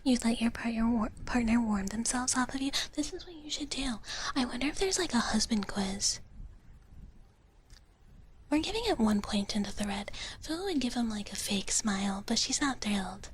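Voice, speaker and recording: A woman streamer speaking in a soft, breathy whisper in a playful, casual live ASMR style, close to the microphone. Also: fairly high pitch, lively intonation, normal speed, a little hiss or hum. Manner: playful, speaks of herself in third person. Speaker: streamer performing as a dragon-girl character.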